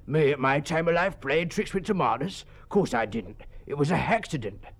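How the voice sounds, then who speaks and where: A man's voice: a little high, lively intonation, normal speed. A man voicing an ancient English school porter with a working-class dialect, stubborn yet deferential, rambling and plain-spoken in an audiobook story. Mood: matter-of-fact.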